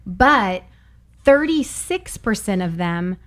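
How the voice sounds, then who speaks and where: A woman's voice: mid-range voice, lively intonation, slow pace. Woman host on a church podcast, mid voice, laughs a lot, keeps things moving. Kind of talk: casual podcast chat.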